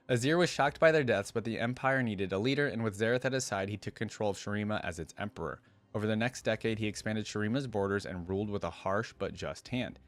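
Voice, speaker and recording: A man hosting a reaction video, reading lore aloud and adding casual, musing commentary. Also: on the low side. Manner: informal, musing asides.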